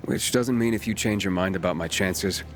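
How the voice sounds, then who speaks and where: An actor playing a calm young wandering pilgrim in a video game, talking in a casual, guarded, matter-of-fact way. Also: male voice, low-pitched, moderate pace, close to an everyday voice. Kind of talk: naturalistic video game dialogue.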